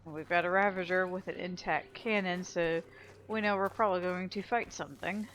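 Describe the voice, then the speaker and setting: Low-pitched woman doing gameplay commentary. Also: fairly even intonation, brisk, fairly bright tone, a little hiss or hum, rough recording. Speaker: video game let's play commentator. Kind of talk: casual gameplay commentary, thinking aloud.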